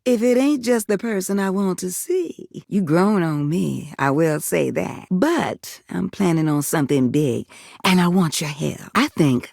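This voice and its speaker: An actress playing a tender-hearted, proud household appliance come alive in a video game, with a folksy, candid manner. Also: female voice, on the low side, expressive, measured pace, vocal fry, darkish tone, dry recording, studio quality, full character voice. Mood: affectionate, hesitant. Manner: folksy, affectionate, emotionally candid. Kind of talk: video game character acting.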